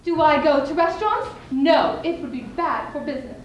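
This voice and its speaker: In an echoey room with some noise behind, a young woman acts out a spirited widow on stage with a high voice. The scheming woman speaks emphatically, leaving deliberate, theatrical pauses. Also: animated, lo-fi sound. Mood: indignant, spirited. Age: young adult. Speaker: student speech team performer. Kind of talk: theatrical dramatic monologue on stage. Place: indoor school showcase stage. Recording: echoey room, clearly audible background noise.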